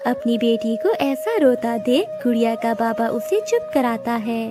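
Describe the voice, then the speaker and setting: Lady narrating a kids bird cartoon story, kinda high voice, music playing behind. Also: very animated, unhurried. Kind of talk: scripted children's story narration.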